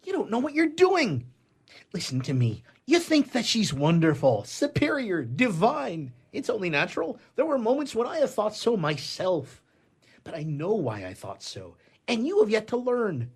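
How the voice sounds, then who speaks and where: A man's voice: high-pitched, wildly swooping pitch, normal speed, breathy edge, full character voice. An actor playing a jilted, cynical man of the world in a stage comedy, with a sarcastic, declamatory manner. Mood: urgent, scornful. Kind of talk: theatrical stage comedy acting.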